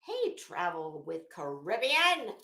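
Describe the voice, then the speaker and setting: A woman's voice: fairly low pitch, wildly swooping pitch, slow pace, bright, forceful tone. A middle-aged woman chatting casually as a livestream host, greeting viewers with teasing, salty banter. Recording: echoey room.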